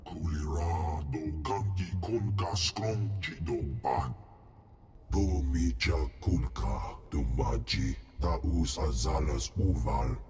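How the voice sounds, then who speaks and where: An actor playing a huge male alien Hutt crime boss, speaking an invented alien language in a booming guttural, self-important way for a video game. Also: darkish tone. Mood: ponderous, scheming.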